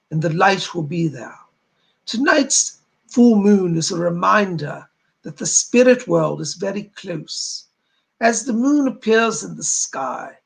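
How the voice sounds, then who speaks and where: A man voicing a solemn, benevolent spirit guide, addressing a gathering in formal, old-fashioned, sermon-like oratory with a husky, gravelly voice. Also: high voice, full character voice. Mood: solemn, reassuring. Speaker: trance medium speaking as a spirit.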